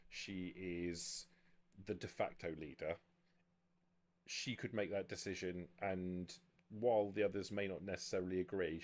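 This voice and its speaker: A man speaking as game master, explaining the rules of a tabletop roleplaying game in a measured way. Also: deep voice, darkish tone.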